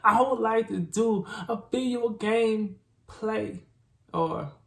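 A man's voice: high voice, slow, vocal fry. Playful Southern US man in a roomy space. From southern United States. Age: young adult. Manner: slangy, playful, repeats himself. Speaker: co-host of a reaction channel. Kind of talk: casual reaction chat. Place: small indoor room with plain wall.

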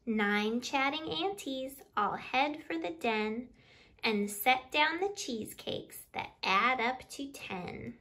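Woman in her thirties, high voice, slow pace, expressive children's storytime host, picture book read-aloud style. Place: small living room by a window.

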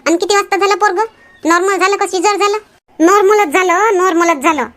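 A woman acts out a chatty village woman from a homemade comedy cartoon. Her voice is extremely high and squeaky. She bickers and teases in a gossipy way, with a Varhadi Marathi accent. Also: a little fast, a bit bright. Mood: excited gossip.

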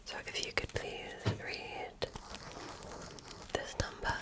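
A woman whispering breathily in a gentle, deliberate, patient way, as an examiner in an ASMR roleplay. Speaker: ASMR performer playing a medical examiner. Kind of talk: ASMR roleplay, soft-spoken personal attention.

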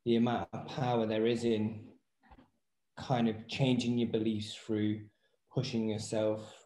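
A man's voice: fairly low pitch, flat delivery, measured pace, warm tone. A man in his thirties talking casually and reflectively as an interview guest, explaining his work as a personal trainer over a video call. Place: small indoor room at home, video call. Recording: roomy echo on voice.

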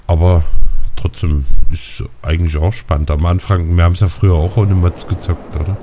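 A man's voice: low voice, normal speed, background noise. A man casually chatting while playing a game with a friend and talking to viewers, a bit stuffy-nosed. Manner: relaxed, talks to viewers. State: stuffy nose. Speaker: let's play host. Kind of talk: casual gaming chat.

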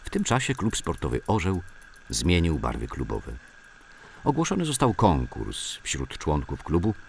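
A man with an extremely low, gravelly voice narrates a scripted memoir. His delivery is measured and storytelling, with lively rises and falls in intonation. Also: slight background noise. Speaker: narrator reading memoirs for an audio drama.

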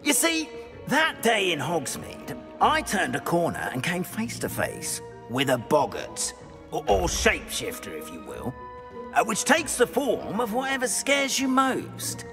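A nervous, fussy middle-aged caretaker in a video game, fretting and apologizing as he goes. His voice sits high and leaps wildly in pitch. Game music plays clearly behind him. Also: huge pitch swings, measured pace, full character voice. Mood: explaining, uneasy. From Korea. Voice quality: fretful, apologetic, theatrical. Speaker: school caretaker.

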